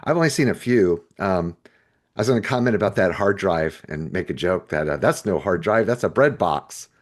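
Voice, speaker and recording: A man's voice: deep voice, animated, moderate pace. A man co-hosting a podcast in casual, unscripted talk, hesitant and rambling as he thinks aloud. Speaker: podcast co-host. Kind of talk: casual unscripted discussion of a book chapter.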